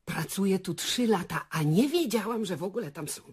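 An actress playing a superstitious, talkative theatre bar worker in a radio play, husky, hesitant and excitable. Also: female voice, medium pitch, brisk. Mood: confiding.

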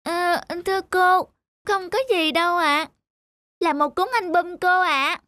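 Very high, shy little schoolgirl. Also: fairly even intonation, slow, dry recording, heavily acted. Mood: shy, wistful. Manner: polite, hesitant. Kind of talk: cartoon voice acting. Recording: loud music behind the voice.

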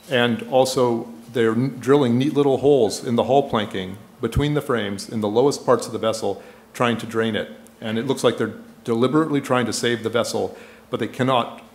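An echoey indoor recording of a middle-aged man presenting at a conference. He speaks at an ordinary male pitch into a lectern microphone. His delivery is explanatory and informal, with pauses as he searches for the next phrase. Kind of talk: public lecture with slides. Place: indoor lecture room. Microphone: gooseneck lectern microphone. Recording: echoey room sound.

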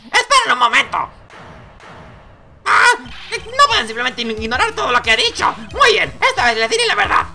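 A performer voicing an indignant, excitable male circus clown in a game, theatrical and exclamatory. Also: sits high, moderate pace, vocal fry, soft background music, voice pushed up, heavily acted. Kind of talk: video game dialogue read aloud with character voices.

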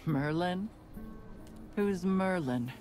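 Ruthless gang leader, low, slow, menacing, commanding, faint music. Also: female voice, lively intonation, darkish tone. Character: cold ruthless female gang leader, young adult.

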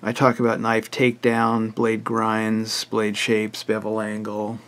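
An older man with a hoarse, raspy voice who often clears his throat, walking plainly through a book he wrote. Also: fairly low pitch, monotone, measured pace, a bit bright. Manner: plain, matter-of-fact, list-like explanation. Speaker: author presenting his book. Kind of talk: casual book walkthrough.

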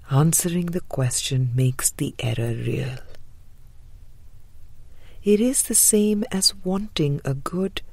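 A woman narrating a spiritual book from a script, measured and deliberate, with breathy trailing phrase endings. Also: low voice, darkish tone, no echo. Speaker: audiobook narrator.

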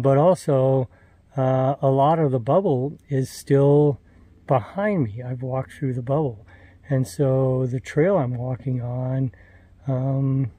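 A man in his sixties talks slowly into a handheld camera, rambling through his day with plenty of ums and restarts. His voice is warm and mellow at a middle pitch. The sound is dry and close. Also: no room sound. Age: 60s. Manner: unhurried, rambling, frequent fillers and restarts. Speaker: thru-hiker vlogger. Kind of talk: casual trail vlog. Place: forest trail outdoors; wooden hostel room indoors. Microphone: phone or camera held at arm's length.

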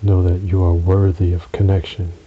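A man narrating a guided relaxation meditation in a soothing, measured way, with long pauses between short phrases. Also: low-pitched, little pitch movement, husky. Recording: dull recording with audible hiss.

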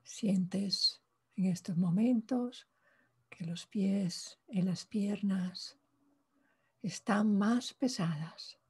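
A woman leading a guided meditation in a soft, breathy voice, calm and hushed, pausing between short phrases. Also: mid-range voice. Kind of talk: guided meditation, slow spoken instructions.

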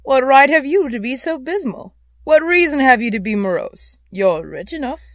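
A woman voicing a cheerful, warm-hearted young man in a storybook, earnest and eager. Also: a little high, animated, moderate pace, dry recording, clean studio sound, pitched above the performer's own voice, lightly acted. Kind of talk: dramatised classic storybook reading.

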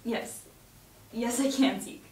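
A woman's voice: on the high side, takes it slowly. A young woman, a cast member at a table read in a home dining room. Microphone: far camera. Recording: roomy, distant mic, loud background noise.

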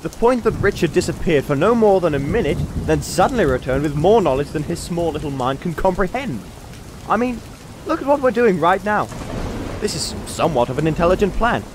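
A man's voice: on the high side, big pitch swings, brisk, background noise. A man playing a prim, self-important, suspicious adventurer in a comic fantasy audio drama, wordy and exasperated. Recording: rain and thunder sound effects behind.

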